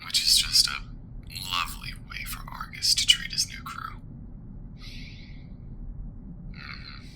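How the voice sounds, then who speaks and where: A man playing a wry, hesitant alien crewmate in an ASMR sci-fi roleplay, speaking in a soft, breathy, husky voice. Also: very deep voice, little pitch movement, moderate pace, full character voice. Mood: wry, uneasy. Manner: hesitant, musing, dryly wry. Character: soft-spoken, hesitant, wry male alien crewmate and former rebel soldier.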